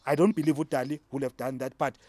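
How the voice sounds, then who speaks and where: A man's voice: mid-range voice, steady tone, quick pace, dark tone. A middle-aged South African man from the Eastern Cape, a native Xhosa speaker, arguing his point emphatically and repeating key lines in a casual debate. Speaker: discussion panelist.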